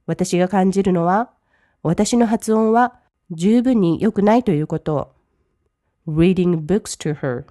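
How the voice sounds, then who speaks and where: A woman who teaches English, reading each English line slowly and then translating it briskly. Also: fairly low pitch, mellow, dry recording, clean studio sound. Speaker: language teacher. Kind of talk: bilingual lesson commentary.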